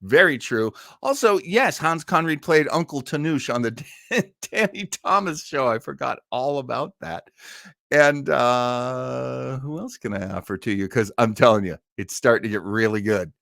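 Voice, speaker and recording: A man's voice: medium pitch, normal speed, gravelly, a bit bright, dry recording. Male host, mature, mid-range voice, chatty fan energy, informal live delivery, very dry sound. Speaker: host of an online listening party. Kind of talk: casual live chat introducing a radio cast.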